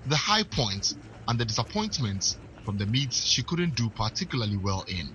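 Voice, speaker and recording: A man narrating a sports news report in a measured way. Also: mid-range voice, very animated, a bit bright, a little hiss or hum, low-quality recording.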